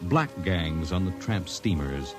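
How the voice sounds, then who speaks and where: Old american radio announcer, low voice, big dramatic swings, loud music. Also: male voice, big pitch swings, takes it slowly, heavily acted. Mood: grand, portentous. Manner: dramatic, portentous. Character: grand, portentous announcer of science-fiction tales. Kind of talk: 1950s radio announcing, scripted narration.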